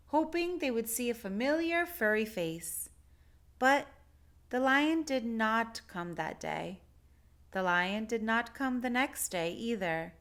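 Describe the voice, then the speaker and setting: A woman narrating a picture book aloud for children, with deliberate, expressive phrasing. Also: on the high side. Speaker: children's story reader.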